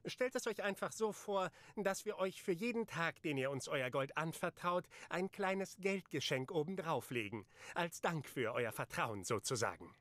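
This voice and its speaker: A man's voice: on the high side, animated, moderate pace, fairly bright tone, no room sound. An actor playing a pompous, condescending male banker in a game. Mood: smug. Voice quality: pompous, patronizing. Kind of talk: game voice acting.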